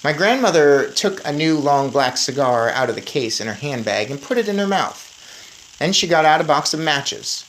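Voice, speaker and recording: Low-voiced, animated woman reading a story. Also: moderate pace, slight background noise, rough recording. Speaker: story reader. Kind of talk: expressive children's audiobook-style read-aloud.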